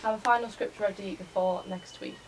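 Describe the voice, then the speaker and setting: Young female student, medium pitch, casual and tentative presenter, away from the mic with light noise. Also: steady tone, a little fast. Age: young adult. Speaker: college student presenting a project. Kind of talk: informal class presentation. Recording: distant mic, faint room noise.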